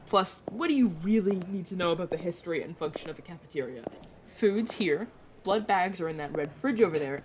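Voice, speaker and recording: A woman's voice: lowish voice, a little mellow, close to an everyday voice. Young male vampire mentor, played by a woman, playful, casual, dramatic streak, audio roleplay, faintly noisy recording. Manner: teasing, casual, dramatic about food. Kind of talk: audio roleplay acting, addressing the listener directly.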